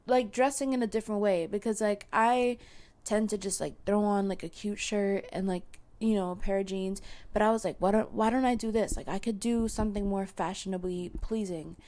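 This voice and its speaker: A young woman with a hoarse, failing voice, talking casually to her viewers with lots of fillers and restarts. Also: medium pitch, fairly even intonation, normal speed, dark tone. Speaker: content creator. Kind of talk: casual chat to camera.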